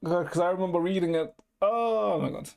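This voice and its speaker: A male livestreamer talks casually with his viewers in a medium-high voice. He rambles informally and swears freely, with music playing behind him. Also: moderate pace, vocal fry, a little mellow. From Ecuador. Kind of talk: casual chat with live stream viewers.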